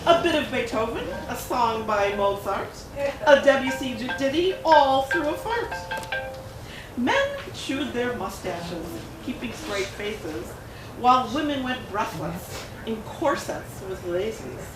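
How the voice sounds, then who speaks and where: A woman author giving a dramatic, expressive read-aloud of a rhyming picture book at a gallery event. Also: on the high side, slow pace, over music. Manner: expressive rhyming delivery with bouncy emphasis. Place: art gallery event room. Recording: echoey room, distant, noisy.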